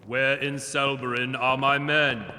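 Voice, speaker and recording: A long hall reverb surrounds an indignant fantasy monarch. He is imperious and threatening, with a piercing, forceful tone and slow, formal phrasing. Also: medium pitch, level tone, slight background noise. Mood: outraged. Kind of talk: fantasy audio drama acting.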